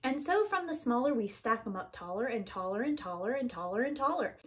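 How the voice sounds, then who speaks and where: A woman's voice: fairly high pitch, lively intonation, a little fast. A woman preschool teacher reading a rhyming picture book aloud to young children in an emphatic, sing-song rhythm.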